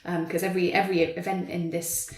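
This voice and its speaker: Echoey, low-voiced woman thinking aloud. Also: level tone, fast. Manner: tentative, hedging, reflective. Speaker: theatre practitioner in a panel conversation. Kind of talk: thoughtful unscripted discussion. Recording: roomy, echoey sound.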